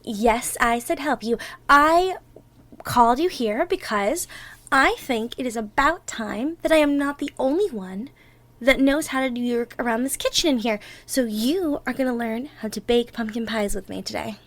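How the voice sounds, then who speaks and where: A woman's voice: fairly high pitch, moderate pace, a bit bright, no echo. A woman playing an affectionate, playfully bossy girlfriend in a one-sided ASMR roleplay, chatting and thinking aloud as she bakes. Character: bubbly, bossy, affectionate young girlfriend baking for Thanksgiving. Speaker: roleplay audio performer.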